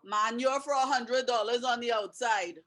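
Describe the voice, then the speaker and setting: A woman's voice: a little high, normal speed, a bit bright. A woman with a Trinidadian accent, hosting a webinar over a video call in a chatty way and asking her guests questions. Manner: chatty, laughs often, asks questions. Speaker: webinar host.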